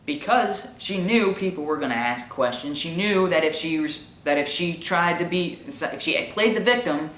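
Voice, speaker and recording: A man with a high-pitched voice giving informal opinion commentary in an explanatory, argumentative way with emphatic repetition. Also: animated, normal speed, hardly any pauses, a bit bright, slight background noise. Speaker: commentary show host. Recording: echoey room sound.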